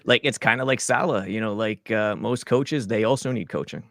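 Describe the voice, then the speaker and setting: Gravelly low-voiced talk show co-host. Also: male voice, moderate pace, fairly bright tone, no echo, studio quality. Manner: easygoing, laughs along with callers. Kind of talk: casual show chat.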